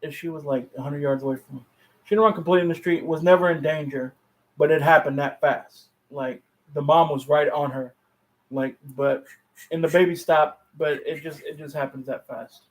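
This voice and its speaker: A man's voice: fairly high pitch, steady tone, normal speed, poor audio. A man co-hosting a podcast in casual, conversational chat. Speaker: podcast co-host.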